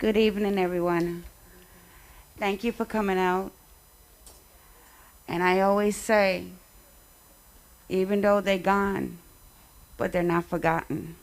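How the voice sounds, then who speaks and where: A woman speaking at a community memorial event, delivering remarks and poetry in short, measured phrases. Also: medium pitch, steady tone.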